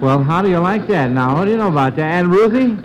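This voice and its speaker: A man hosting an old-time radio quiz, speaking in a halting, encouraging and agreeable way. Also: high voice, expressive, a crowd in the background, rough recording. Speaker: quiz show host.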